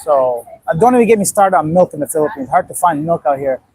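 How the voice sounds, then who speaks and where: A man's voice: a little high, expressive, fast talker, a little mellow, breathy edge, rough recording. A man in his late thirties from Afghanistan, rambling bluntly on a casual livestream and reacting to viewer comments. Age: 30s. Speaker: livestream host. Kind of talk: casual live-stream chat reading viewer comments.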